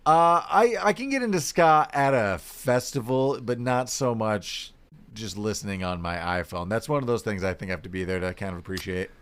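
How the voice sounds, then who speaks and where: A man's voice: on the low side, animated, normal speed, a bit bright, clean tone. A man co-hosting a podcast in casual, meandering banter with friends. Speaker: podcast co-host.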